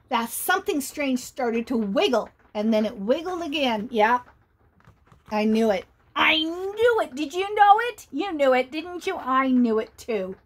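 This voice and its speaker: A woman reading a picture book aloud to children, chatty and animated, often pausing to ask her listeners questions. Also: high-pitched. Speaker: storyteller.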